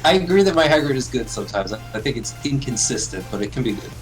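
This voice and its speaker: A man's voice: mid-range voice, very animated, over music, rough recording. A man with a slightly nasal, congested voice, game master of the group, chatting casually and stumbling over repeated words. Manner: halting, repeats words as he talks. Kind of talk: casual pre-game chat.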